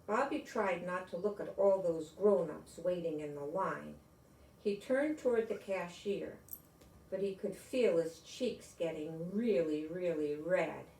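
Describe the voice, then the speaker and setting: A woman's voice: on the low side, measured pace, darkish tone, recorded from a distance. An older woman narrating a children's story as a storybook reader. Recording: echoey room.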